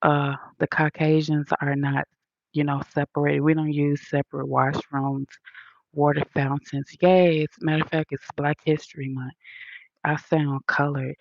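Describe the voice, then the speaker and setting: A woman's voice: sits low, level tone, unhurried, creaky, no echo, muffled recording. A woman with a Southern accent hosting a livestream, lecturing her chat in an indignant, emphatic way. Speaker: livestream host reading chat. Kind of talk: casual livestream rant.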